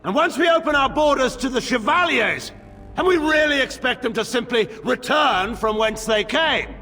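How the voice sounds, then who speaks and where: A man's voice: extremely high pitch, expressive, normal speed, bright, forceful tone, faint music behind, exaggerated voice. An actor playing a proud, suspicious nobleman general in a video game, declaiming with sardonic, theatrical oratory in a very reverberant hall. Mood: accusing, rousing.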